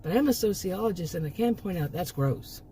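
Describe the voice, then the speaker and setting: A woman's voice: fairly low pitch, expressive, fairly quick, mellow, a little hiss or hum. A middle-aged woman hosting her own channel, giving a rambling, emphatic opinion monologue with many restarts and asides while she is losing her voice. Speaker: commentary channel host.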